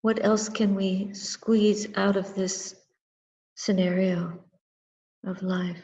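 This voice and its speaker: Very slow, mellow woman guiding meditation. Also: medium pitch, steady tone. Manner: contemplative, measured, short phrases with long pauses. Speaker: Buddhist nun and meditation teacher. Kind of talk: guided meditation and dharma talk. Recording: muffled recording with long reverberant echo.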